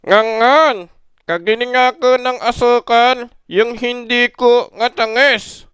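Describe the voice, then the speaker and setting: A man voicing a cheeky five-year-old boy puppet with a squeaky, playful, sing-song delivery and blurred ventriloquist lip sounds. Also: slow pace, a bit bright, clear, resonant tone, no echo, clean studio sound, heavily acted. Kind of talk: comic puppet dialogue.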